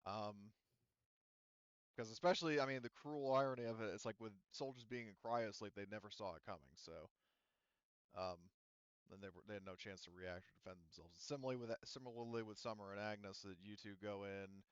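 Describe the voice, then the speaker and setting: A man narrates a tabletop game casually as game master. His voice is smooth and clear at a middling pitch. The sound is dry, with a slightly muffled, lo-fi edge. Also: a little fast, no echo.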